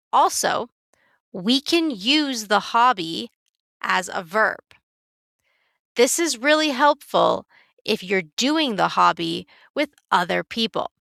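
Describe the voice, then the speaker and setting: A woman teaching a beginner English lesson in a careful, clear, scripted way. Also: mid-range voice, bright, forceful tone, no echo, clean studio sound. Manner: clear, careful, instructive delivery.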